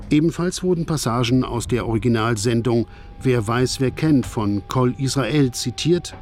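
A man reading a scripted announcement in a measured, formal radio style. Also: medium pitch, a little mellow, a little hiss or hum.

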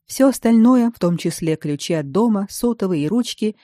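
A woman narrating a first-person audiobook at a steady, even pace on a close studio mic. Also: medium pitch, normal speed, dry recording.

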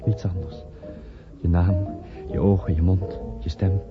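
An actor playing an enraptured, wondering suitor in a romantic radio play. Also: male voice, low-pitched, flat delivery, measured pace. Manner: tender, wondering. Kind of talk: romantic radio drama acting. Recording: dull old radio recording, music behind. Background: loud music.

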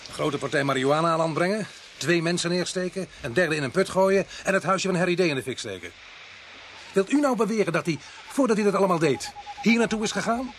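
A man's voice: fairly high pitch, a bit bright, a little hiss or hum. A Dutch man playing a sceptical police sergeant in a radio drama, arguing in a sardonic way. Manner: sardonic, argumentative.

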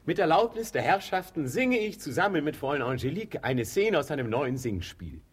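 An actor playing an ardent young lover who poses as a courteous singing teacher in a German radio comedy, with formal, theatrical delivery. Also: male voice, a little high, very animated, a little fast. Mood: courteous, explaining. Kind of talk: German radio play acting of classic stage comedy.

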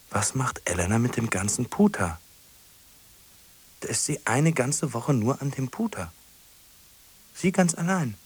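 An actor playing a solitary middle-aged man in a radio drama, ruminating in short questioning fragments with a husky, breathy voice. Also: mid-range voice, a little mellow, heavily acted. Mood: agitated, incredulous. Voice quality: husky, breathy, often sinking to a whisper. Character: solitary man fixated on a lonely woman, musing.

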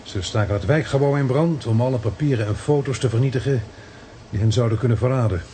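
An actor with a Netherlands Dutch accent playing an inquisitive companion piecing a mystery together in a radio play. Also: male voice, medium pitch, fast, dark tone, a little hiss or hum, close to an everyday voice. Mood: curious.

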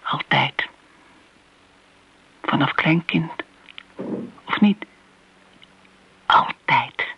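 A woman's voice: low voice, expressive, very slow, long pauses, slightly rough, in a whisper, full character voice. An actress playing a wounded, possessive older woman in a radio play, speaking in a grave and wistful way, like a second mother. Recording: muffled old radio recording.

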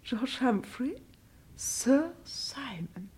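An actress playing a genteel, status-proud mother, doting and fluttery, in a German radio crime play, with affected dramatic pauses. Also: high voice, lively intonation, a little mellow, full character voice. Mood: reverent, thrilled. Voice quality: breathy, husky.

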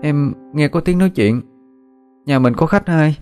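A man voicing a sick, frightened young girl in a Southern Vietnamese accent, halting and pleading in an audio story. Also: a little high, a little mellow, soft background music, pitched above the performer's own voice, close to an everyday voice. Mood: curious. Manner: halting, pleading, repeats words. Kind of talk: dramatised audio story narration.

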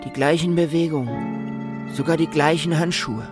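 A man's voice: on the high side, moderate pace, natural voice. An actor playing a desperate, earnest man searching for his lost beloved in a radio drama. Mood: bewildered, searching. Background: music.

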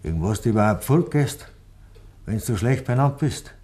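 A man's voice: medium pitch, lively intonation, normal speed, mellow, lightly acted. An actor playing a guilty, bullying village man with a Bavarian accent, badgering with taunting questions in a 1950s dialect radio play. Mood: taunting, needling.

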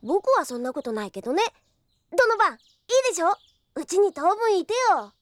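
A woman's voice: extremely high pitch, very animated, a little fast, dry recording. A woman voicing an eager, cheeky young boy in an anime audio drama. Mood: cheerful, eager. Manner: bright childlike, cheeky delivery.

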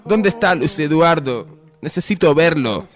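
A man's voice: a little high, lively intonation, a little slow, faint music behind. A man playing a dying, wealthy old hacienda owner, wailing melodramatically in a parody radio soap. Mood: agonized. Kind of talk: parody telenovela radio acting.

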